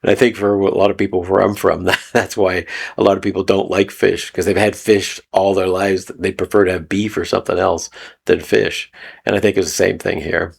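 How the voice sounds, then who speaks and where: A man's voice: deep voice, little pitch movement, normal speed, vocal fry, husky. A man from Canada giving a rambling, casual spoken teaching talk, repeating phrases and chuckling often. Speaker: video host. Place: lives in Mexico.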